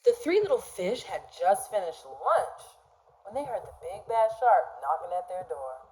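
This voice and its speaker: Slow, expressive woman reading a children's story. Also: lowish voice, rough recording. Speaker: storybook narrator. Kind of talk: children's read-aloud narration.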